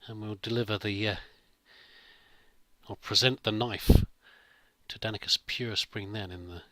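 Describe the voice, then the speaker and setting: A man's voice: fairly low pitch, fairly even intonation, measured pace, sharp tone, no room sound. A man giving casual, in-character roleplay commentary over a video game, rambling politely and hesitantly. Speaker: let's play host. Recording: faint background noise with game ambience.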